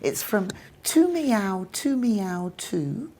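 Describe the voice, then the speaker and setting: A woman host chatting in an upbeat way and passing along comments from viewers. Her voice is a little husky. It sits at an ordinary middle pitch. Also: big pitch swings, extremely slow, darkish tone. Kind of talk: live-stream chat with guests.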